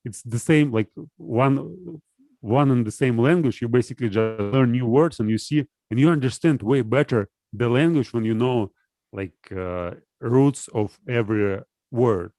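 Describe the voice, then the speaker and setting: Halting man. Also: medium pitch, warm tone, dry recording. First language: Russian. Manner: hesitant, halting, searching for words. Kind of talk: casual philosophical discussion.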